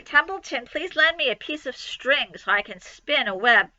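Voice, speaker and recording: A woman's voice: high voice, animated, normal speed, pushed and bright, no echo, lo-fi sound, voice pushed up, full character voice. A woman voicing a grumpy, sarcastic male rat in a storybook reading, mocking and self-pitying. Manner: sneering, mocking, grumbling.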